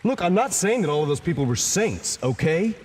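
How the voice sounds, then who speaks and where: A brash young thief with a high voice that swings up and down, sarcastic and ready to pick a fight. A long reverb and faint ambient noise surround him in a stone hall. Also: male voice, big pitch swings, brisk, a little mellow. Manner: sarcastic and confrontational. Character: brash young man, a thief and fortune seeker. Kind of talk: video game cutscene acting.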